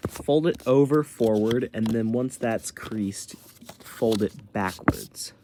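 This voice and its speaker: Man, casual tutorial, slow delivery, informal wording, step-by-step instructions, faintly noisy home recording. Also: on the low side. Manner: informal, colloquial, explains hands-on while demonstrating. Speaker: tutorial presenter.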